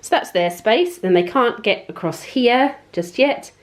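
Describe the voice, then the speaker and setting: A woman's voice: on the high side, animated, a little slow. A young woman giving a casual home tour as a parenting vlogger, chatty and informal as she explains things. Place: indoors at home. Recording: clear household background noise. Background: household noise.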